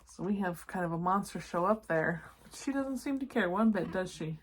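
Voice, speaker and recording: A woman's voice: on the low side, lively intonation, normal speed, a little hiss or hum. A woman narrating a children's storybook expressively, breaking off to explain and check in with young listeners. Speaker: read-aloud storyteller for children.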